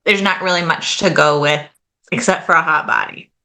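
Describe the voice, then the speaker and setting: A woman's voice: low-pitched, normal speed, sharp tone. A woman in her late twenties, a guest on a podcast, talking in a casual, chatty way full of "like" and "kind of". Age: late 20s. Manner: chatty, fillers like "like" and "kind of", laughs easily. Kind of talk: casual interview chat.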